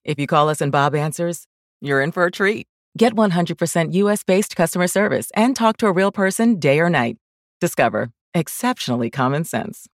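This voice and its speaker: A woman's voice: on the low side, expressive, fairly quick, no echo, clean studio sound. An American woman reading a scripted advertisement as a voice-over. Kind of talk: scripted ad read.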